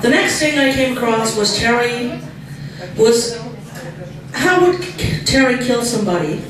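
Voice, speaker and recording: Loud sarcastic woman in an echoey hall. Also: fairly high pitch, lively intonation, unhurried. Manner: loud, dry sarcastic delivery. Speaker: sister-in-law giving a roast speech. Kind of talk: roast speech. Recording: echoey hall from far off with crowd. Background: laughing audience.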